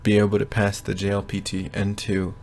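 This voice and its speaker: A young man giving a casual, rambling progress update from his bedroom, often pausing to think aloud. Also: on the low side, monotone, darkish tone, a little hiss or hum. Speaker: language learner vlogger. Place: bedroom at home.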